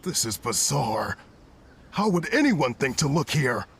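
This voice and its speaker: An actor playing a shifty, stubborn bandit boss in an anime video game, grumbling and defensive in a dramatic way. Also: male voice, mid-range voice, sing-song, measured pace, a bit bright, slight background noise. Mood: baffled. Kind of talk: English-dubbed anime video game acting.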